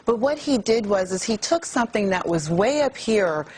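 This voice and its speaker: A woman with a mid-pitched, husky voice argues her point on a studio panel. She leans on words and stretches them for emphasis. Her delivery is earnest and firm. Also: expressive, moderate pace, darkish tone. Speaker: talk show panel guest.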